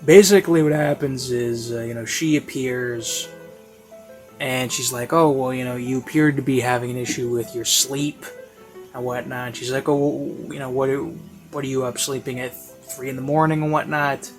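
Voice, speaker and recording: Male, mid-pitched, off-the-cuff reviewer. Rambling, hesitant delivery with frequent searching pauses, classical music bed behind. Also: fairly even intonation. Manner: hesitant, halting, thinking aloud. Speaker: reviewer of audio series. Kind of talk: casual rambling spoken review.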